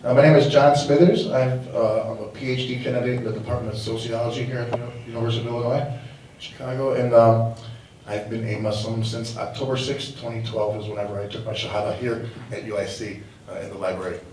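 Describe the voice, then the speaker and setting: A man on a university panel introducing himself in a halting way, with fillers. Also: medium pitch, fairly even intonation. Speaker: panelist, PhD candidate. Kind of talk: casual self-introduction. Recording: echoey hall, far mic, noisy.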